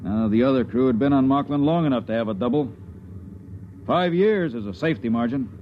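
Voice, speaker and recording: Matter-of-fact American spaceman played by a male actor, medium-pitched, 1950s radio sci-fi, dull recording with faint noise. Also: fairly quick, close to an everyday voice. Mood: reassuring. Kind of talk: 1950s radio drama acting. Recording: muffled, faintly noisy old radio recording.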